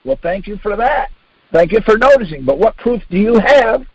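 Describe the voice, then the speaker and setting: Older American male, husky and high-pitched, explaining informally and insistently on a lo-fi conference line. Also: big pitch swings, moderate pace, no room sound. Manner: insistent, repetitive, uses rhetorical questions. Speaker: speaker leading a conference call. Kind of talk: informal instructional talk with anecdotes and acted-out exchanges. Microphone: phone conference line. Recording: dull lo-fi conference call audio.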